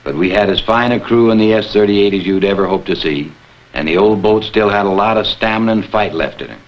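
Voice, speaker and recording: A man's voice: on the low side, a little fast. A middle-aged man, a naval officer, answering as a guest in a formal 1950s television interview. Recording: dull 1950s TV soundtrack.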